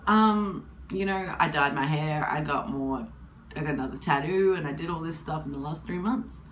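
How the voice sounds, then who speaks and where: Husky young woman, low voice. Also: normal speed, a little hiss or hum. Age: 20s. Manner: candid, rambling, with many fillers. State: hay fever. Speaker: vlogger. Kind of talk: casual talk to camera.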